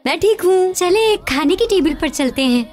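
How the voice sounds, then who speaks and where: A woman acts a cartoon mother who is firm yet caring. The voice is high and very melodic, with an instructive manner meant for children. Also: lively intonation, normal speed, a little mellow, faint music behind. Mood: polite.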